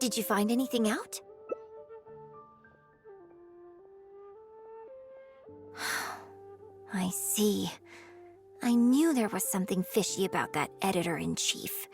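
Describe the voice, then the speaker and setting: A woman voicing a composed, shrewd and caring young woman in a video game, speaking in a measured, poised way. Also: mid-range voice. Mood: suspicious, calm. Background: music.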